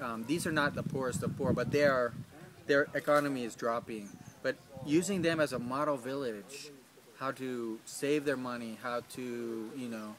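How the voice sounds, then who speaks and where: A man in his thirties answering interview questions outdoors in a garden, hesitant, with many ums and restarts. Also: mid-range voice. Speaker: field staff.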